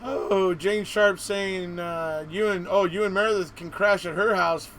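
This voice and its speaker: A man with a fairly high voice hosts a casual live chat, informal and a little halting as he thinks aloud. Faint noise sits behind his voice. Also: steady tone, fairly bright tone. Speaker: live-stream host and interviewer.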